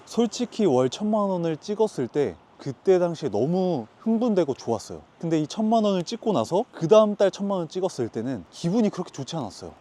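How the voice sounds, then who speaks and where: A man's voice: high-pitched, sing-song, fast, hardly any pauses, mellow. A man in his twenties who owns a lodging business, explaining his work earnestly in a casual outdoor interview, often posing rhetorical questions. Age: 20s.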